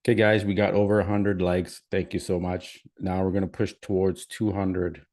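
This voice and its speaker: A man's voice: deep voice, flat delivery, normal speed, darkish tone. A man with a Canadian accent hosting a live stream, chatting casually and reading out where viewers are from. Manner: chatty, reads out viewer comments. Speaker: livestream host.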